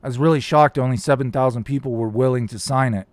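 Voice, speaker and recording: A man's voice: medium pitch, fast, no echo. A man hosting a casual live stream, reading viewer comments aloud and rambling about them, his voice starting to crack. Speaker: YouTube host reading live chat.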